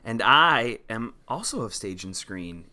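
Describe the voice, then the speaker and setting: Bright, lively man co-hosting a podcast. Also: mid-range voice, a little slow. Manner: playful, breaks into goofy old-timey voices. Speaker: podcast co-host. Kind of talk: casual podcast chat.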